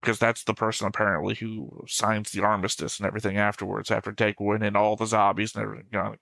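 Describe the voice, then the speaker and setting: A man giving casual reaction commentary, halting as he picks over details. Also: on the low side, flat delivery, vocal fry, fairly bright tone, dry recording. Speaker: reaction video host.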